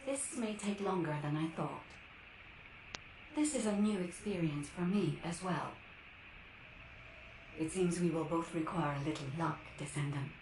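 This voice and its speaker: A markedly low female voice rings with echo as an actress plays a helpful AI guide. She is polite, measured and instructive, in scripted game dialogue with faint noise behind. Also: darkish tone, close to an everyday voice. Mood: calm, helpful. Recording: echoing, faintly noisy game audio.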